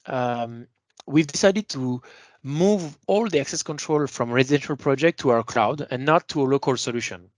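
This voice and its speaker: A man's voice: medium pitch, no echo. A man giving an explanatory product webinar as a sales presenter, talking informally and stressing his key words.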